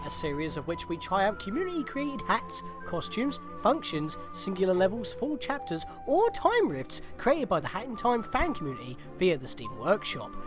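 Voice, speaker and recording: A man's voice: high voice, huge pitch swings, moderate pace, hardly any pauses. Guy chatting over a game, voice goes up and down a lot, rambles and corrects himself, bit of music behind. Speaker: game video host. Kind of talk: chatty gameplay commentary.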